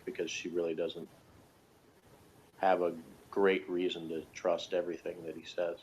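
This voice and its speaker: A man's voice: sits low, darkish tone, slight background noise. A man talking casually as an episode reviewer, rambling a little as he thinks aloud. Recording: dull, lo-fi sound.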